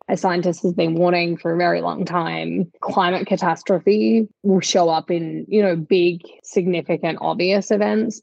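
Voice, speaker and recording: A woman with a gravelly, fairly low voice talks through news as a podcast co-host. She explains things reflectively and conversationally. The recording is dry and slightly dull. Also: a little mellow, no room sound.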